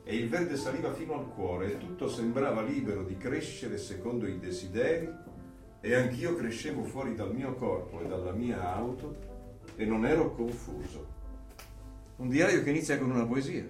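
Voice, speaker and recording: A man acting a measured, enigmatic, knowing figure of authority in a radio drama, poetic and deliberate. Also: medium pitch, lively intonation, recorded from a distance, natural voice. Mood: calm, knowing. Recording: echoey, off-mic, faint music behind.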